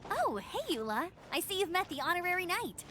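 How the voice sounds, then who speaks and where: A bright, peppy young scout. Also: female voice, very high voice, big pitch swings, fairly quick, exaggerated voice. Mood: cheerful. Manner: upbeat, easygoing. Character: cheerful young female scout, friendly, energetic. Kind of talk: video game dialogue.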